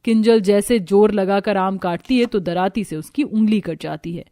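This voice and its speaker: A woman's voice: medium pitch, normal speed, dark tone, no room sound. A woman storyteller giving scripted narration for a family cartoon. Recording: music bed under the voice.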